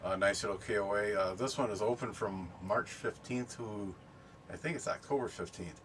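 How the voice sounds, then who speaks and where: A middle-aged man chatting casually and unscripted for a vlog, explaining things in a rambling, halting way. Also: lowish voice, fairly bright tone. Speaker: camping and ham radio vlogger. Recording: distant mic, background noise.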